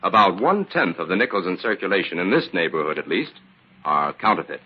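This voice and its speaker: An actor playing a calm, shrewd scientific detective in an old-time radio drama, measured and assured as he explains. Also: low voice, breathy edge, lo-fi sound. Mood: assured, explanatory.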